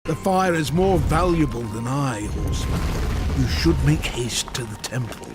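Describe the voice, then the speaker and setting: An actor playing a solemn, ancient maker in a fantasy video game, speaking in a measured, grave and formal way. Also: male voice, mid-range voice, music in the background, lightly acted. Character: solemn ancient maker, reverent keeper of forge lore. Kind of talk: video game cutscene acting. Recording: game score behind the voice.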